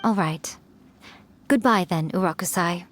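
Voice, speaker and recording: A woman's voice: lowish voice, unhurried, slight background noise, natural voice. An actress playing a self-assured, teasing fox yokai woman in a video game, with a knowing manner. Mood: fond, assured.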